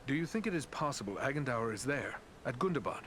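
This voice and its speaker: Questing hero, medium-pitched voice, earnest, respectful, formal, fantasy game, clear rumbling ambience. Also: male voice, sing-song, fast, a bit bright, a little hiss or hum. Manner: formal, sincere. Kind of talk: dramatic fantasy game acting.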